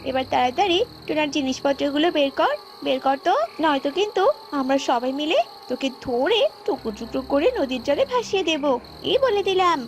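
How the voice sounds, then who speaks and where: A woman's voice: high voice, lively intonation, fairly quick, slight background noise, voice pushed up. A woman voicing a bullying cartoon bird, bossy and threatening. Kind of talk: children's cartoon voice acting.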